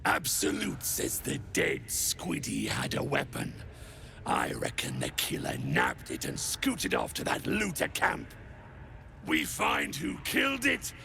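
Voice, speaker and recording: A man playing a brutish, zealous hobgoblin warlord and priest in a video game, commanding and rough-spoken, with a gravelly voice. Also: rumbling low, pushed and bright, heavily acted. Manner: commanding, bellowing, rough folksy speech. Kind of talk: video game character acting. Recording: noisy game ambience behind.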